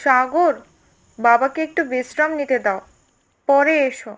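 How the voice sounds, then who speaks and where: A woman's voice: high voice, a little slow. A woman voicing a patient young boy's mother in a cartoon, reassuring and coaxing. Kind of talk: cartoon voice acting. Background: background music.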